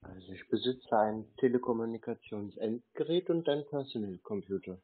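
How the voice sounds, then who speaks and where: A husky-voiced man on a prank phone call, deadpan and playing naive, with stilted, pompous wording. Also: fairly low pitch, moderate pace. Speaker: prank caller baiting phone scammers. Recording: muffled telephone-like sound.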